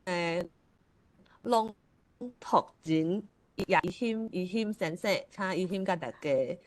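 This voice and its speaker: Low-pitched Taiwanese woman hosting. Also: takes it slowly, no echo. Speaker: host of an online literary forum. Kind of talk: informal event hosting.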